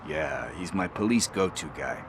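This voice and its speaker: A wry, streetwise informant for a video game. His voice sits very low. He talks casually and glibly, hesitating as he goes, slippery yet likeable. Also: animated, background noise. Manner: glib, hesitant, casual drawn-out phrasing. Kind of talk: video game cutscene acting.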